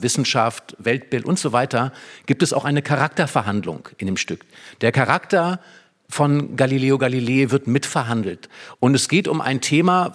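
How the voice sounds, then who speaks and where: A man talking in a conversational, explanatory way, analytical and emphatic, often posing rhetorical questions. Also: medium pitch. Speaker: literary discussion guest explaining a play.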